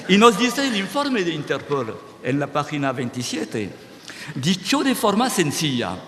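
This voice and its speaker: Guy giving a talk, keeps checking "¿sí?", echoey big room. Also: a little high. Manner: animated, restarts words, checks with "¿sí?". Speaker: speaker at a conference. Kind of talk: lecture-style talk to an audience. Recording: reverberant hall, faint noise.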